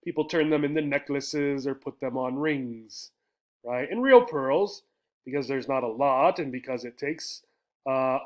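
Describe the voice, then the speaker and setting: A man with an American accent explains vocabulary to English learners in a didactic way, repeating key words and often checking in as he goes. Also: mid-range voice. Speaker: English teacher.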